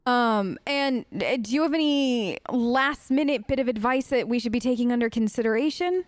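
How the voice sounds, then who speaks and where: A woman's voice: a little high, normal speed, dry recording. A woman doing casual, chatty role-play commentary as a let's play host.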